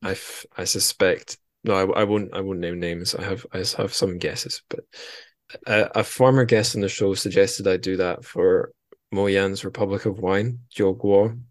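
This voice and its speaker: Wry, low-voiced man hosting a podcast. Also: steady tone, normal speed, no room sound, clean studio sound. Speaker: podcast host. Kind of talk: casual podcast chat.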